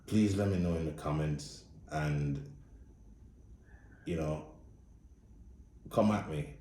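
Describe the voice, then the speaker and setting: Very deep-voiced Jamaican man, blunt. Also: warm tone, echoey room, distant mic, a little hiss or hum. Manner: blunt, profane, speaks straight with no filter. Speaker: movie reviewer. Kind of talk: casual opinionated review monologue.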